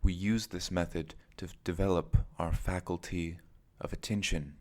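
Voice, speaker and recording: A man guiding a meditation as its teacher, speaking in measured, deliberate phrases with pauses between them. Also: low-pitched, steady tone, a little mellow. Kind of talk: guided meditation narration.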